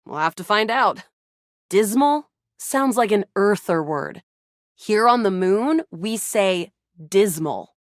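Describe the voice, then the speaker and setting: A woman's voice: mid-range voice, lively intonation, takes it slowly, no room sound, studio quality. A woman voicing a cocky young female space soldier in a video game, brash, dramatic and self-assured. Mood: matter-of-fact. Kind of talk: video game character dialogue.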